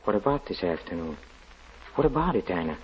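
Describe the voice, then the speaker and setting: A man acting in an old radio drama as a trusting carnival magician who haltingly tells of his own undoing, repeating words as he goes. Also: low voice, big pitch swings, a little mellow, husky, full character voice. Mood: anxious. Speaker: narrator and lead actor. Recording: dull old radio recording, music behind.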